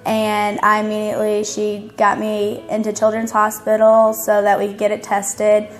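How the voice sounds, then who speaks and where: A nineteen-year-old woman tells her own story to the camera in a casual, youthful way. Her voice sits in a medium register with a flat, level delivery. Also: mid-range voice, moderate pace, faint music behind, rough recording. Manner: casual, youthful, hedging with kinda. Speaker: interviewee.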